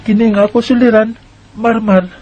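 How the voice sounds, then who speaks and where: A man's voice: sits high, fairly even intonation, moderate pace, darkish tone, breathy edge, rough recording. A man reading aloud a listener's letter in an earnest, pleading way.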